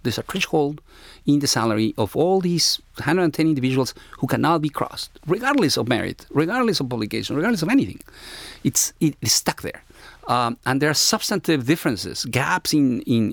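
A middle-aged man from Ecuador with an Ecuadorian Spanish accent, speaking reflectively as an interviewee in a halting, searching way. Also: a little high, very animated, vocal fry, no room sound. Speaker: interviewee, university academic.

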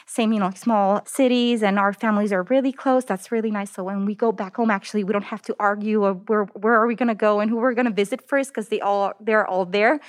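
A woman's voice: medium pitch, steady tone, quick pace, few pauses. A woman in her thirties from Italy, speaking with an Italian accent, chatting as an interview guest with lots of "like" and "you know". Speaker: oncologist guest. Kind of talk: casual interview talk.

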